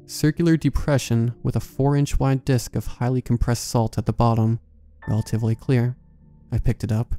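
Mellow-voiced man narrating horror stories. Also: medium pitch, clean tone. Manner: measured, calm reading. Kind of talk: scripted horror story narration.

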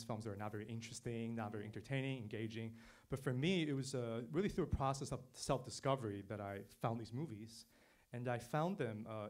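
A man who grew up in Taiwan, a film producer speaking on a theater stage panel with a handheld mic, answering in a fluent, thoughtful way that builds his point step by step. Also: on the low side. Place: theater stage before an audience.

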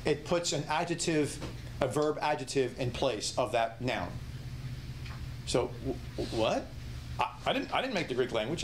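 A man's voice: medium pitch, a little hiss or hum. A middle-aged man teaching a Bible study in a small room, emphatic, firing rhetorical questions and restarting his sentences. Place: small indoor room with whiteboard.

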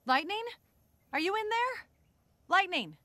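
A woman with an American accent voicing a smart, teasing sports car in an animated game, with a wry manner. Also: very high-pitched, measured pace, slight background noise, full character voice. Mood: teasing, friendly. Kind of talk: animated video game acting.

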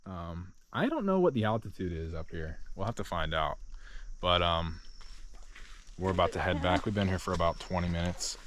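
A man's voice: low-pitched, animated, normal speed, fairly bright tone. A man in his twenties chatting to an action camera while hiking a rainforest trail. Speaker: travel vlogger. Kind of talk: casual hiking vlog. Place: outdoors on a rainforest volcano trail. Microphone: action camera held at arm's length. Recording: faint outdoor noise.

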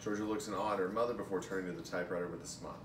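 A man's voice: sits low, steady tone, rapid-fire. A young man reading aloud from a script in a room at home, picked up by a camera a few feet away. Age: young adult. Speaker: amateur reader of stage directions and a part. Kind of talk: script read-through. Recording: distant echoey room mic, clear noise.

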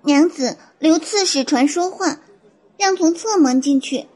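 A woman's voice: high voice, measured pace, a little mellow. A woman playing a young, eager maidservant who reports deferentially to her mistress in an audio drama. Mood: eager, hushed urgency. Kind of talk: audio drama character acting.

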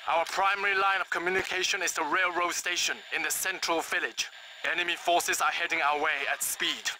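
High-pitched formal army commander, played by a man. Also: fairly even intonation, a little fast, lightly acted. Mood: commanding. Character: formal, patriotic army commander briefing a soldier. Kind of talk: military briefing in a video game. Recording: clear background noise.

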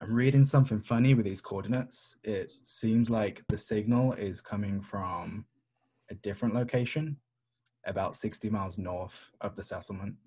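A man's voice: fairly low pitch, normal speed, dark tone, breathy edge, low-quality recording. A man playing a tabletop roleplaying game on a video call, chatting casually with the group.

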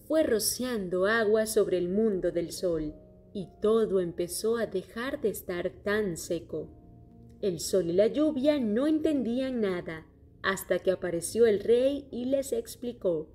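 A woman reading a children's story aloud in a clear, steady storytelling manner. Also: medium pitch, a little slow. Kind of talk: scripted children's story narration. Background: soft music.

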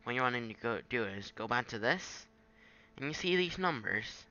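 A man giving a casual, improvised software tutorial in a halting, self-deprecating way on a home microphone. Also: medium pitch, expressive, lo-fi sound. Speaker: tutorial maker. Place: at a home computer, screen recording.

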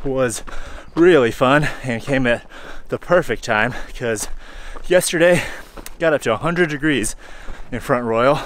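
A man's voice: mid-range voice, measured pace, few pauses, a little hiss or hum. Laid-back man vlogging on a forest trail. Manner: relaxed, rambling, thinking aloud with fillers. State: sweaty and hot while hiking. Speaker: hiking vlogger. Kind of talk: casual vlog talk to camera. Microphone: handheld camera held at arm's length.

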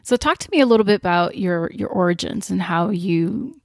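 A woman's voice: fairly low pitch, lively intonation, brisk, creaky, darkish tone, no room sound, clean studio sound. A young woman hosting a podcast, reflective and chatty, laughing often. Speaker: podcast host. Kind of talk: casual interview chat.

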